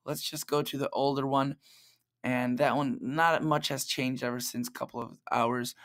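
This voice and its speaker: Man, slightly raspy, laid-back weather vlogger walking through forecast maps. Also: medium pitch, flat delivery, normal speed, a bit bright. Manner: chatty, loose, talks through model maps. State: slightly raspy late at night. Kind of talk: casual weather forecast vlog. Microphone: plug-in microphone.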